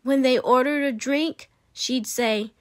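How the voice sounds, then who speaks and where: A woman's voice: sits high, takes it slowly, no echo, clean studio sound. A woman reading a children's picture book aloud expressively, with dramatic emphasis and playful asides. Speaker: read-aloud storyteller.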